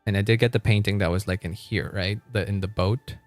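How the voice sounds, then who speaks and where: Low-voiced man rambling through a game stream. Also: fairly even intonation, fairly quick, no echo, soft background music. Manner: informal, rambling, mild swearing. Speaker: game livestreamer. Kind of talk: casual game-stream commentary, chatting with viewers.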